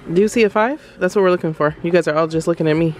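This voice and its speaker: A woman's voice: lowish voice, very fast. A woman in her thirties vlogging casually, talking directly and familiarly to her viewers. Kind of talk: casual chatty vlog. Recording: music behind the voice. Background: background music.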